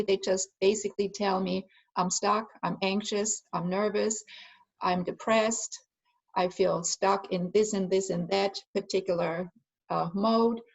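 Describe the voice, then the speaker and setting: A woman's voice: mid-range voice, gravelly, rough recording. A woman from Germany, earnestly explaining her healing work as an interview guest, with frequent fillers. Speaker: guest, energy healer and aromatherapist. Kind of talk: conversational interview answers.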